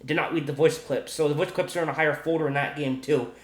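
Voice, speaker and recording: A man with a somewhat high-pitched voice hosts a casual, unscripted gaming explainer. He speaks haltingly, restarting and repeating himself as he works through each point. The room around his voice is noticeably echoey. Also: steady tone. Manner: halting, repetitive, circles back over points. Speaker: gaming video host.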